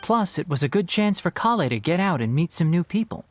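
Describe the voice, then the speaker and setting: A man's voice: sits high, very animated, a little fast. An actor playing a sensible, long-suffering young male scholar in a video game, explaining things with dry patience. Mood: patient. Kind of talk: video game character acting. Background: faint game music.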